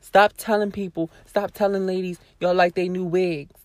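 A woman YouTuber ranting casually to her viewers, sassy and blunt, with a hoarse voice and a lot of cursing. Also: fairly low pitch, fairly even intonation, moderate pace, no echo. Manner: sassy, blunt, swears freely, talks straight to viewers. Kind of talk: casual vlog rant to camera.

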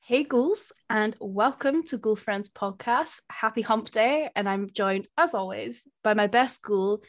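A woman's voice: fairly high pitch, measured pace. A woman co-hosting a podcast in casual, laughing chat that drops swear words freely. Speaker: podcast co-host.

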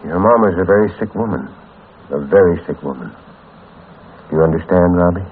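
A kindly, grave family doctor played by a man speaks in a low, measured, avuncular voice. He has folksy small-town phrasing in the manner of 1940s radio acting. The sound is narrow and tinny, like an old broadcast. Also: a little hiss or hum, lightly acted. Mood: grave, gentle.

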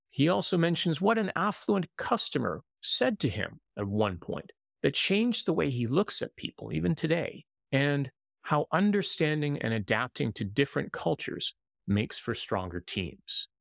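A man hosting an interview podcast, speaking in a measured, thoughtful way as he reflects and then introduces his guest. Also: mid-range voice, creaky, no room sound, clean studio sound. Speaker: podcast host and intercultural coach.

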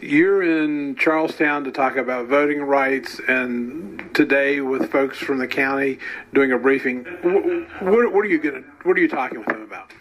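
Man, mid-pitched voice, news interviewer, on-location questioning. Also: moderate pace, fairly bright tone, poor audio.

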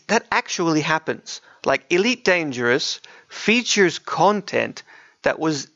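Man, fairly high voice, podcast host, conversational and keen, close dry room, slightly muffled audio. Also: fairly bright tone, no room sound. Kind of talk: casual podcast chat about video games.

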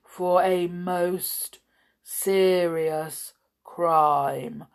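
A woman's voice: fairly low pitch, fairly even intonation, vocal fry, full character voice. A woman voicing a stern, gloomy male soldier in a children's storybook, speaking solemnly and ponderously. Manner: slow, solemn, ponderous delivery.

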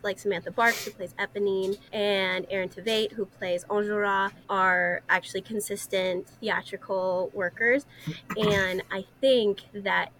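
A woman's voice: mid-range voice, fairly bright tone. A young adult woman speaking as a podcast guest in casual, opinionated discussion.

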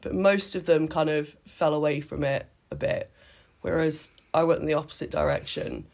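A woman in her late thirties from Britain, hoarse with a cold, chatting casually as a podcast co-host and often restarting her sentences. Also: low-pitched, fairly even intonation, normal speed, a little mellow. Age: late 30s. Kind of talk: casual chat. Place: sitting on the floor in a home play fort.

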